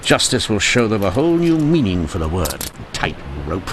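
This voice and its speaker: An actor playing a witty, dogged 1890s police inspector in a wry, theatrical radio mystery style. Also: male voice, sits low, sing-song, normal speed, a little hiss or hum. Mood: confident, accusing. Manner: wry, theatrical, formally polite. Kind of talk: old-time radio mystery acting.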